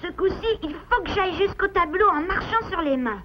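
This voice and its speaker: A woman's voice: extremely high pitch, expressive, fairly quick, very noisy. A woman voicing a mischievous, boastful little boy beaver in a children's cartoon, exclamatory and childlike. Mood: playful, flustered. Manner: exclamatory, self-assured, childlike. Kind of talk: dubbed children's cartoon acting. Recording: dull lo-fi cartoon soundtrack, loud music.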